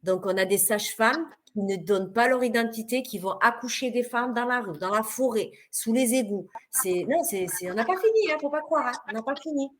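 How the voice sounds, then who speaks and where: A woman's voice: on the high side, animated, brisk, hardly any pauses, a little hiss or hum. A woman from France speaking on a video call as a webinar panelist, insistent and emphatic, hammering points home with repetition. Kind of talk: passionate webinar discussion.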